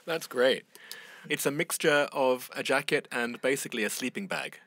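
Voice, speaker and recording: A man hosts a conversational interview in a bright, forward voice of medium pitch. The recording is close and very dry, with hardly any room in it. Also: moderate pace, dry recording. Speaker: podcast host, interviewer.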